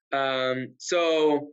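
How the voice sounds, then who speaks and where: A man's voice: mid-range voice, extremely slow, clean tone. Egypt-born man on a dull video call. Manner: relaxed storytelling with fillers "um" and "uh". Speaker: guest, realtor. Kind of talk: casual interview chat.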